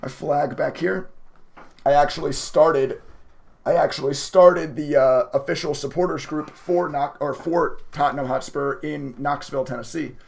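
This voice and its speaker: A man with a raspy voice chatting casually to viewers as a livestreaming day trader, emotive and talkative. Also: fairly high pitch, fairly even intonation, moderate pace. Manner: chatty, emotive, laughs often. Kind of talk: casual live stream commentary to viewers.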